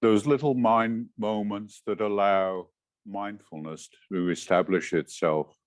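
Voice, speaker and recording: A man with a low voice guides a meditation in short, measured phrases. He speaks very slowly and gently, leaving space between instructions. The sound is dry, with little room in it. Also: fairly even intonation, dry recording. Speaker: meditation teacher.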